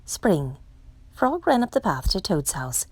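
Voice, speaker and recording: A woman's voice: fairly low pitch, big pitch swings, unhurried, husky, no echo. A woman narrating a children's storybook as a read-aloud for storytime. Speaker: storytime reader.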